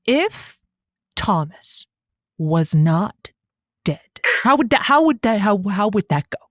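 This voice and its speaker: A woman playing a chatty, kindly but awkward lonely-hearts radio host in an audio drama, with a halting, playful, folksy delivery. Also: mid-range voice, sing-song, dry recording, studio quality. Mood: tentative concern.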